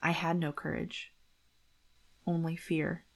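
A woman reading dramatically as a frightened, brooding young heroine, solemn and deliberate. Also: sits low, flat delivery. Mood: afraid, somber. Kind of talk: dramatic audiobook-style reading.

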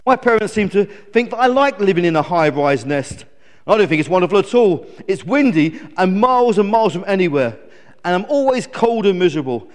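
A man's voice: high-pitched, brisk, darkish tone, in a large hall, voice pushed up, close to an everyday voice. A man voicing a grumpy young male eagle who squawks and complains indignantly in a children's story. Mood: whining, miserable.

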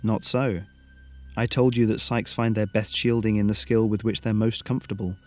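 A man's voice: fairly low pitch, steady tone, normal speed, a little mellow, soft background music, natural voice. A man voicing a patient, encouraging male teacher in a story. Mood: explaining.

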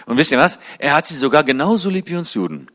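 A man's voice: big pitch swings, fast talker. Fervent male preacher, middle-aged. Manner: fervent, climbs high and loud on key points. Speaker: guest speaker at a church. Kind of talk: sermon-style talk to a congregation.

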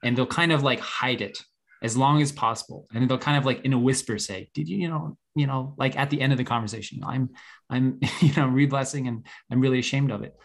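A man's voice: mid-range voice, brisk, vocal fry. A man hosting a group discussion, explaining and advising in an earnest, conversational way. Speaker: host guiding a group discussion.

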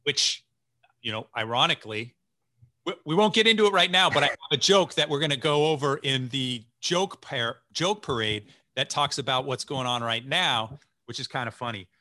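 A man's voice: medium pitch, moderate pace, sharp tone, no echo. A man in his forties hosting a podcast, chatting enthusiastically with a guest over a video call. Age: 40s. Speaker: podcast host. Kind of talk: casual interview chat.

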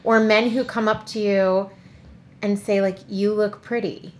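A woman co-hosting a podcast in loose, animated chat. She swears freely and mocks people by quoting them, at a middling pitch. A faint noise sits under her voice. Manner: animated, swearing, quick asides and mocking quotes. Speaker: podcast co-host. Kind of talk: casual chat.

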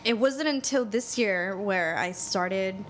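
Relaxed, conversational woman host. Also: mid-range voice, slow, a little hiss or hum. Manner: relaxed, conversational, sharing personal stories. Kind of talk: casual interview conversation.

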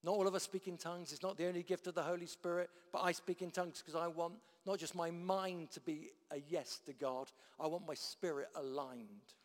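Male preacher, slightly raised pitch, loud-to-hushed sermon delivery, reverberant hall. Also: steady tone, brisk, few pauses. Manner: dynamic, swings from loud declarations to hushed tones.

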